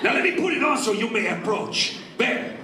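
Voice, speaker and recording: A man's voice: extremely high pitch, very animated, moderate pace, a crowd in the background. A man playing a pompous, menacing masked wrestler villain in a comic radio play, declaiming grandly. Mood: grandiose. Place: convention hall stage before an audience. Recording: echoey hall from far off, loud audience.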